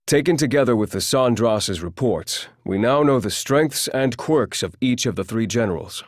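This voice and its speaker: An actor playing a composed military advisor in a video game, speaking in a measured, formal way. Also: male voice, medium pitch, expressive, no echo, clean studio sound, lightly acted. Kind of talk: video game cutscene acting.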